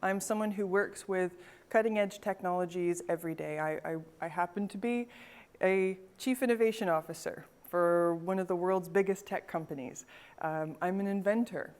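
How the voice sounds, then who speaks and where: A woman with a Canadian accent, from Northern Canada, delivers a scripted keynote as the event's host. She tells a story in a measured way, and a few words stumble and repeat. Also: fairly low pitch, darkish tone. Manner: storytelling, uses forest imagery, occasional stammered repeats.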